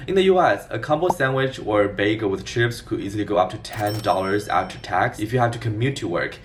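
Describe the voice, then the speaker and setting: A man in his twenties from China giving a scripted explainer vlog in a clear, organized way. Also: on the low side, far from the mic, slight background noise. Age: 20s. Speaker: vlogger.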